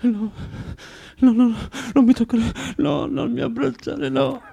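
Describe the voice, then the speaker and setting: An actor playing a bitter, proud, self-loathing recluse in a theatrical radio drama, with a volatile, declamatory and halting delivery. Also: male voice, very high voice, fairly even intonation, gravelly, mellow, slightly rough, heavily acted. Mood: anguished, agitated.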